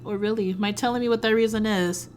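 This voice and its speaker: A woman's voice: fairly even intonation, quick pace, a little mellow, slight background noise, lightly acted. Woman voicing a smug, teasing fairy boy. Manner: low, smug, amused drawl. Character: teasing, smirking young male fairy with wings.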